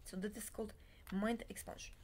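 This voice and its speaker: A woman in her forties, a Polish native speaker, giving a halting, half-whispered talk into a laptop webcam in a small bed-and-breakfast room. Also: medium pitch, steady tone, darkish tone, a little hiss or hum. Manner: halting, many filler pauses, often half-whispered. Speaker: self-help lecturer. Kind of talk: slow unscripted monologue to webcam.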